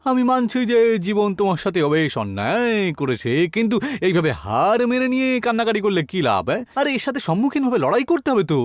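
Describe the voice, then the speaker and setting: A man's voice: sits high, very animated, quick pace, few pauses, no echo, clean studio sound. A man voicing a huge, kindly but commanding genie in a cartoon, with theatrical, reassuring delivery. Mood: encouraging. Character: huge male genie, kindly helper who turns stern. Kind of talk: cartoon dialogue. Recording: music behind the voice. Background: music.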